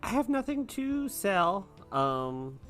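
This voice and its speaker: A man chats as a tabletop player in a halting, casual way. His pitch swings widely as he talks, over faint music. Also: sing-song, fairly bright tone. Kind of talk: casual game chat.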